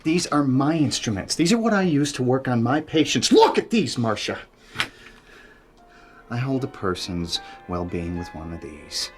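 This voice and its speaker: A man's voice: mid-range voice, very animated, normal speed, faint music behind, close to an everyday voice. A man playing a boastful, intense medical practitioner in a self-important, dramatic monologue. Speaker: actor in a monologue reel. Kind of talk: acted monologue. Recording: clearly noisy recording with clicks.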